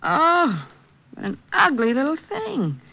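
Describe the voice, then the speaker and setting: An actress playing a detective's curious, teasing sweetheart in old-time radio style. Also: female voice, high-pitched, wildly swooping pitch, takes it slowly, gravelly, full character voice. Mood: amazed. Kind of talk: 1940s-50s radio acting. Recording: dull old radio recording.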